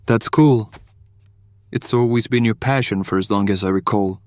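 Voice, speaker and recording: A man plays a calm, caring friend in an audio drama. His voice is low and conversational, reassuring, recorded very dry. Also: moderate pace, dry recording, lightly acted.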